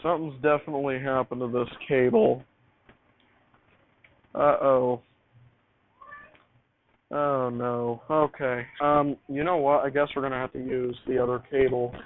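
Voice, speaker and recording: A man's voice: medium pitch, level tone, unhurried, long pauses. A man talking casually and off the cuff as he fiddles with gear, muttering to himself and repeating his phrases. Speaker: amateur vlogger showing home radio studio. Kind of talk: casual improvised talk while troubleshooting gear. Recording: tinny phone-like band, hissy background noise.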